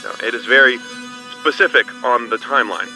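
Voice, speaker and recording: An actor playing a formal, insistent agency caller in a video game, polite but pressing. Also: male voice, medium pitch, a little fast, bright, forceful tone, faint music behind, rough recording. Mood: stern, insistent. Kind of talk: naturalistic video game acting.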